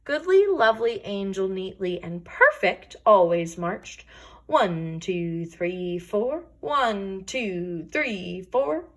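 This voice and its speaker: A woman storyteller reading a children's picture book aloud with expressive, deliberate emphasis. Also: medium pitch.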